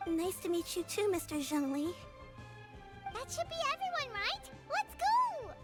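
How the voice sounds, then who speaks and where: A woman voicing a tiny, childlike, excitable fairy companion in a game, with a squeaky, chirpy delivery and music behind. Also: a little slow, exaggerated voice. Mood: cheerful, eager. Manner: chirpy, exclamatory.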